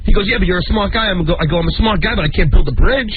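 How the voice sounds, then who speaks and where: A man's voice: high-pitched, rapid-fire, over music. A man who works on a radio show, chatting casually about himself. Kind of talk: casual chat. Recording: noisy phone-like radio recording with clicks.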